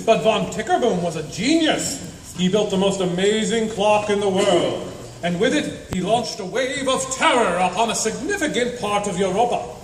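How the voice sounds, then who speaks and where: A man playing a pompous, self-satisfied gentleman adventurer in a comedic radio play, with a grandiose, florid delivery. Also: high-pitched, animated, moderate pace, soft background music. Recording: echoey hall, far from mic, faint noise.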